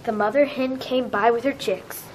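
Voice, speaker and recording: A woman's voice: fairly high pitch, fairly even intonation, moderate pace, noisy background. A woman narrating a children's storybook, reading it aloud.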